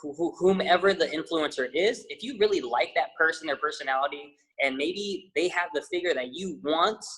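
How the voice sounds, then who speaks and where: A man's voice: on the high side, brisk, few pauses, breathy edge, rough recording. A young man, a fitness trainer, chatting casually as an interview guest, rambling with lots of fillers and restarts. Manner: says he has a loud voice.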